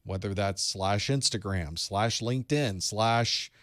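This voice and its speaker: Relaxed low-voiced man hosting a live study group. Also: slow pace, no echo, clean studio sound. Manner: relaxed, chatty, greets viewers by location with light jokes. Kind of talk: casual live-stream chat and instruction. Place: home studio room with electronics.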